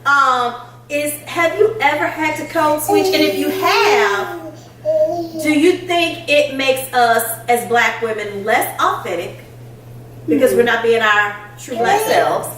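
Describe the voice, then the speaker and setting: A woman's voice: high voice, slow pace, roomy sound, recorded from a distance, background noise. A middle-aged woman hosting a casual chat, telling stories with plenty of laughter. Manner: animated storytelling, laughs often. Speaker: podcast host.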